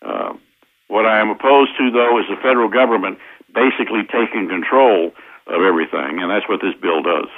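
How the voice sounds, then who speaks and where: An American man explaining his points methodically as an interview guest, with hesitant pauses, over a tinny phone line. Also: fairly low pitch. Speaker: interview guest, constitutional lawyer. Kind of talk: explanatory radio interview answers.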